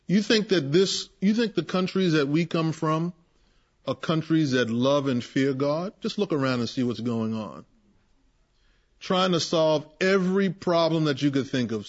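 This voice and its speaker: Male Bible study leader, animated and emphatic, slightly higher voice, dull recording. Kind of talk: preaching in a group Bible discussion. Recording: muffled lo-fi recording.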